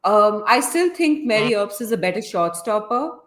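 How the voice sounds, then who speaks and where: A woman's voice: medium pitch, lively intonation, moderate pace, roomy sound. A woman casually commentating and analysing a live football match, chatty and opinionated, feeling her way through her thoughts. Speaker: host of a football watchalong.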